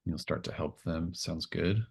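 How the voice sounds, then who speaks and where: A man with a very low voice runs a tabletop roleplaying game. He improvises scenes in halting, tentative phrases, thinking aloud as he goes. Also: fairly even intonation, gravelly, slightly rough. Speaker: game master.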